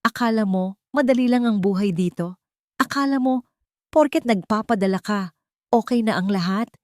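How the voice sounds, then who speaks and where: A woman's voice: mid-range voice, moderate pace, darkish tone, no echo, pitched above the performer's own voice, close to an everyday voice. A woman storyteller plays a husband in a family drama story. He is defensive and angry, sounding burdened by pressure. The sound is dry and close. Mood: angry, frustrated.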